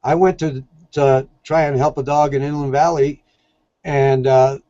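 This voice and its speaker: A man's voice: mid-range voice, fairly even intonation, unhurried, mellow, no echo, muffled recording. A man talking casually as an interview guest, telling stories in a plain-spoken, earnest way. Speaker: podcast guest, shelter dog volunteer.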